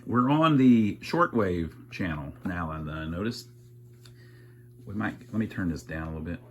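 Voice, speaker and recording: A man's voice: low-pitched, lively intonation, normal speed, a little hiss or hum. A man casually thinking aloud as he narrates a hands-on repair, with a folksy, wry sense of humor. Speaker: amateur radio repairer and video host.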